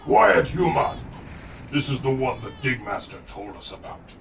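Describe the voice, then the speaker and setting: Brief: performer as snarling ape sentry, harsh orders, jumpy pitch, light background noise. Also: lively intonation, measured pace. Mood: gruff command. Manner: barking commands, rough. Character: gruff ape guard.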